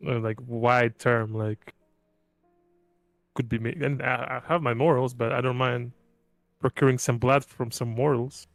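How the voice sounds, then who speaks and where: Male tabletop player, medium pitch, laid-back and joking, recorded dry over faint music. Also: flat delivery, a little slow, long pauses, no echo. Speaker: player in a tabletop roleplaying game. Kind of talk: casual roleplay and table talk.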